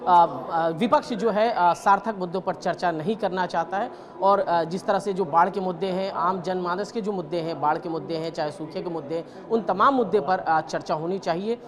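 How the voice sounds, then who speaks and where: A man working as a field reporter, interviewing on location with a halting, hesitant delivery. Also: high voice. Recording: loud crowd chatter behind.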